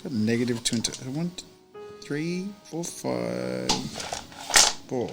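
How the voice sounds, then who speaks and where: A man's voice: mid-range voice, lively intonation, slow pace, creaky, over loud music. A man chatting casually as a player in a tabletop roleplaying game.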